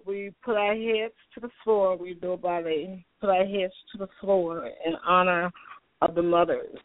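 An older woman, a spiritual elder, leading a slow, solemn, incantatory prayer. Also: fairly low pitch, steady tone, no echo. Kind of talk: spoken prayer. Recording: dry, tinny phone line.

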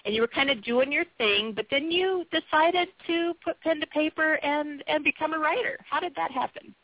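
A woman's voice: high-pitched, moderate pace, no echo. A woman radio host leads a conversation with her guests, often restarting her sentences. Her voice comes across thin and dry. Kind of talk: interview hosting. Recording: dry tinny radio sound.

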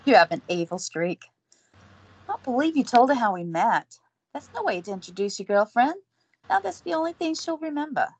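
A woman's voice: on the high side, very animated, moderate pace, breathy edge, exaggerated voice. A woman playing a flustered, earnest young woman in an audio drama.

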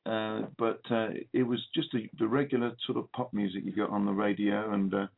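A man's voice: fairly low pitch, flat delivery, a little fast, creaky. A man with an English accent, a guest musician talking in a phone interview, hesitant with many ums and restarts. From England. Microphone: telephone. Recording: tinny phone line.

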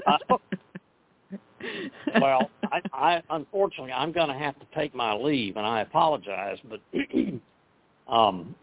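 A man from Texas and Oklahoma, a guest on a talk radio show, hoarse and losing his voice, wry and skeptical. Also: medium pitch, expressive, measured pace, long pauses. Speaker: guest journalist. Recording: tinny phone line.

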